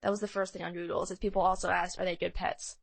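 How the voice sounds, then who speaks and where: A woman co-hosting a podcast with casual, playful, expressive banter. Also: on the low side, fast, vocal fry, breathy edge. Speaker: podcast co-host.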